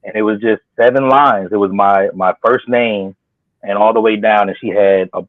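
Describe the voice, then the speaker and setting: A man's voice: on the low side, steady tone, normal speed, no room sound. A man guest poet talking in a laid-back, grateful way while telling his story. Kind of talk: casual interview talk. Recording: phone-like, dry line.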